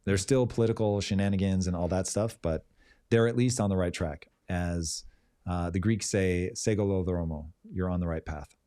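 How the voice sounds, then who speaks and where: Low, mellow-voiced male podcast host. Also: dry recording, clean studio sound. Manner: blunt, swears casually, drawn-out emphatic points. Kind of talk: casual live-stream talk.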